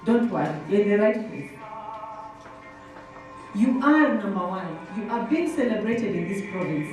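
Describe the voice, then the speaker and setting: A woman's voice: mid-range voice, animated, a little slow, a little mellow. A woman in her thirties with a Kenyan accent gives a motivational talk to students, emphatic, full of questions and repetition. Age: 30s. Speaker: motivational workshop speaker. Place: indoor workshop hall. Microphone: handheld microphone. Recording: roomy hall, distant, music behind.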